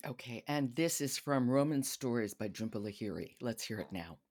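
A woman's voice: rumbling low, expressive, normal speed, darkish tone. A woman hosting a podcast, chatting casually about books. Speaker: podcast host. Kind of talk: casual book chat.

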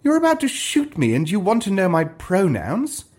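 Man performing flustered bearded visitor, high strained pitch, stuttering, disbelieving, fantasy story character. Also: wildly swooping pitch, normal speed, darkish tone, a little hiss or hum. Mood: panicked. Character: flustered, stammering bearded male visitor from elsewhere.

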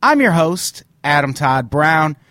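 A man hosting a live-streamed podcast in casual chat, swearing freely and running through rules quickly, his voice a little hoarse. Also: fairly high pitch, very animated, sharp tone. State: slightly hoarse. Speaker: podcast host.

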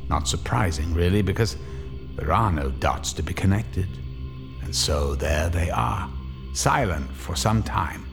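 A man giving measured, ominous scripted narration for a radio drama. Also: deep voice, expressive, vocal fry, music in the background.

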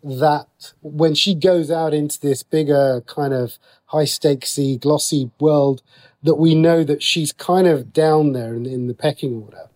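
Higher-pitched man, chatty interview guest. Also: steady tone, measured pace, a little mellow. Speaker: guest, TV and radio writer. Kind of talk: casual interview answers.

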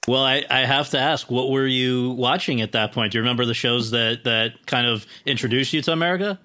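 A man interviewing a guest, asking his questions in a halting way with restarts. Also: medium pitch, pushed and bright.

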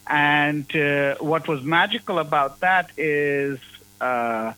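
Guy who grew up in zambia, bright kinda tense voice, lots of uhs and restarts, sounds like radio. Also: fairly high pitch, slow, smooth, rough recording. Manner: hesitant, many fillers and restarts. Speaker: guest, university professor. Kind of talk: conversational interview.

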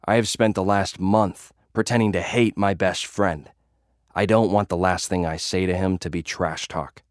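A man's voice: low-pitched, fairly even intonation, moderate pace, clean tone, no room sound, studio quality, lightly acted. A man playing a jaded young influencer who fights as a gladiator, narrating an audio drama in a casual, slangy, confessional way. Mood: guarded, determined.

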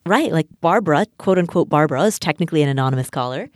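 Woman, podcast host, low voice, earnest and measured, dry close sound. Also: lively intonation, no room sound. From Nepal. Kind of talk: podcast talk and ad read.

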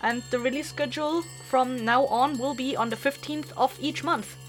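A woman's voice: high-pitched, fairly even intonation, moderate pace, soft background music. A woman hosting a podcast, giving announcements to listeners in a chatty, informal, upbeat way. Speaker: podcast creator and host. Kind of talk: casual scripted announcement to listeners.

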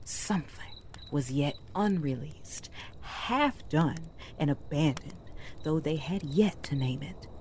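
Slow, low, hushed fairytale storyteller. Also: female voice, animated, slight background noise. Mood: hushed, ominous. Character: mysterious female fairytale storyteller, solemn and wistful. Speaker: storyteller narrator. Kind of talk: dramatic fairytale narration.

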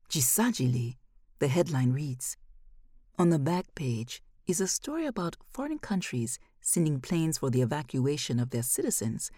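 A woman reading literary fiction as an audiobook narrator, measured, with phrases often falling to a hush. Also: low-pitched, no echo, clean studio sound.

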